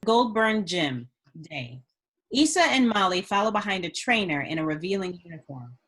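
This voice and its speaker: A woman's voice: on the low side, very animated, normal speed, a bit bright, slightly rough. A woman reading screenplay stage directions as a narrator at a script table read.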